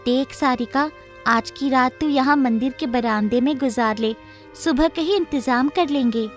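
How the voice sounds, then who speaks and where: Anxious, caring girlfriend role actress: very high pitch, urgent advice, children's story with music. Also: a little slow. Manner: urgent, advising. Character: worried, caring female friend of the heroine. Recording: music playing behind the voice.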